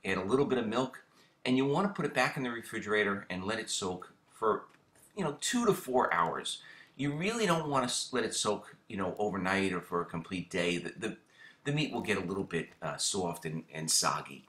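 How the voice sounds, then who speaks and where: A middle-aged man hosting a fishing channel, explaining a how-to in a relaxed, casual way with lots of ums and repeated words. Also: on the low side, brisk, roomy sound.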